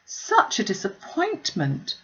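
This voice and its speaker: A woman's voice: mid-range voice, huge pitch swings, takes it slowly, fairly bright tone, dull audio, pitched above the performer's own voice, full character voice. A woman voicing a kind-hearted, distressed young lady in a classic novel reading, with an earnest, plaintive way of exclaiming. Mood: shocked, distressed.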